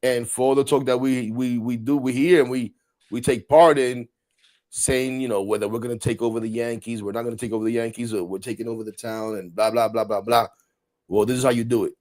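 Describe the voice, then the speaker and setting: A man in his forties hosting a casual sports talk show, animated and rambling, repeating words and laughing often. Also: mid-range voice, fairly quick. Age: 40s. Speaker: podcast host.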